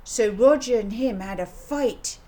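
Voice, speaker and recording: A woman's voice: on the high side, unhurried, dark tone, voice pushed up, close to an everyday voice. A woman voicing an earnest little girl in a storybook, explaining things in childish run-on sentences. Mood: indignant, eager. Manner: childish, breathless run-on telling. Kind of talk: children's audiobook character voice. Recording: audible background noise.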